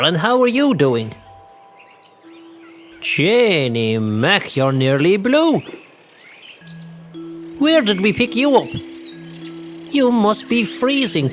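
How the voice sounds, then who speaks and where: A slow, high-voiced Irish young coachman. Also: exaggerated voice. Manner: chummy, coaxing, unhurried. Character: friendly, kindly young coachman, servant to a queen. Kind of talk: children's audio drama acting.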